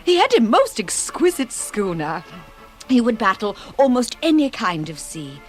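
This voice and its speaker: An actress playing an older, wistful aristocratic widow in a radio drama, telling her story in a slow, dramatic way. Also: fairly high pitch, huge pitch swings, faint music behind. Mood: wistful, romantic.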